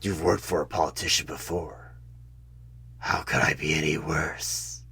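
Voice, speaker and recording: A man voicing a sly, coaxing demon recruiter in a husky whisper for a horror ASMR audio roleplay. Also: very deep voice, fairly even intonation, sharp tone, heavily acted. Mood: sly, defensive, persuasive. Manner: slow, drawn-out, insinuating, persuasive.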